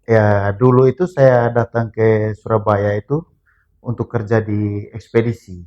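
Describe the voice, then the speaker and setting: A man's voice: on the low side, little pitch movement, unhurried, darkish tone. A man, a former boxer as the guest, plainly telling his story in a casual interview. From Bajawa, Flores, Indonesia. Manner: plain-spoken, recounting his story at length. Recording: dull lo-fi sound.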